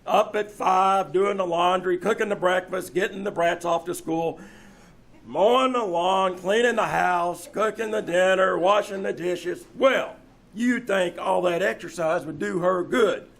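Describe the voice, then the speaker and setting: A man playing a callous, wisecracking working-class husband in an old-time radio comedy, with deadpan, drawn-out delivery. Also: high voice, vocal fry, exaggerated voice. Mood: dry, grumbling. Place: small-town theater stage, live performance.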